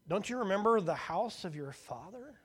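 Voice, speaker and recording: A man voicing a calm, patient eldest son who speaks gently and earnestly. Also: sits high, moderate pace, breathy edge, pitched above the performer's own voice. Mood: gentle earnest.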